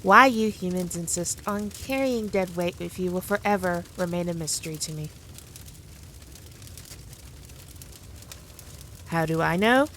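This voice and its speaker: A woman's voice: fairly low pitch, normal speed, fairly bright tone, slight background noise, natural voice. A woman playing a teasing, condescending demon queen in an ASMR roleplay audio drama, taunting the listener with haughty playfulness. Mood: smug, condescending. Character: all-powerful demon queen, smug, dominant, teasing, condescending.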